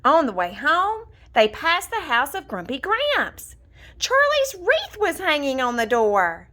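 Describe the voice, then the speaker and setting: A woman reading a children's storybook aloud in an expressive, deliberate storytelling manner. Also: high voice. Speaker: storybook reader. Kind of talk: scripted children's story narration, read aloud.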